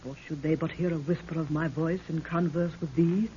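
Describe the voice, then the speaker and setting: A woman's voice: low voice, steady tone, a little fast, warm tone, lightly acted. Through a muffled old radio recording with faint noise comes an actress as a solemn, anxious medieval queen. She speaks in stately, archaic phrases with 1930s theatrical weight. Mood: solemn, urgent. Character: solemn, fearful queen of a lost medieval people. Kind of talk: 1930s radio drama acting.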